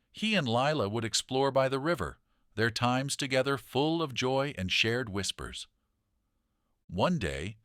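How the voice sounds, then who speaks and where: A man narrating a children's bedtime story in a gentle, deliberate read-aloud manner. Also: medium pitch, dry recording, clean studio sound.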